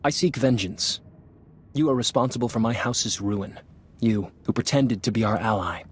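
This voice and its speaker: A man's voice: lowish voice, normal speed, slightly rough. An actor playing a proud young nobleman in a video game, formal and declamatory as he accuses and vows vengeance. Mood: vengeful.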